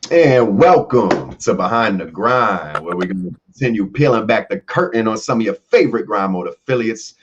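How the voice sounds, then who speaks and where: A man hosting a casual live show, joking and slangy, shouting out the chat. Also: fairly low pitch, lively intonation, normal speed, few pauses, lo-fi sound. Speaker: host.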